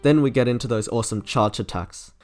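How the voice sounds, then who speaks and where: A man's voice: mid-range voice, fairly quick, a little mellow. Man narrating a review over music. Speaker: video essay host ranking game trailers.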